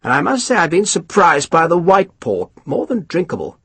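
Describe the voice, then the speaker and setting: A man's voice: on the high side, big pitch swings, normal speed, fairly bright tone, husky, no room sound, voice pushed up, full character voice. A man voicing a pompous, scheming British colonel who talks down to others in a haughty, snappish way, reading for an audiobook. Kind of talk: audiobook character voice.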